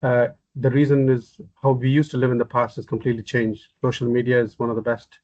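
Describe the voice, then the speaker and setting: A man speaking as a psychiatrist on a podcast, in a flat, level voice that barely rises or falls. He explains things in a measured way and often repeats words. The sound is dry and muffled. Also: medium pitch, dry recording. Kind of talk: podcast interview answers. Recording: dry, dull, muffled audio.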